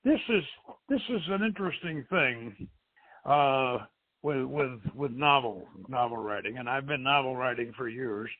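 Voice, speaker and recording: A man's voice: mid-range voice, lively intonation, a little slow. A man hosting a radio show, introducing and reading aloud an adventure story, on a muffled narrow-band recording. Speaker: show host and novelist reading own story.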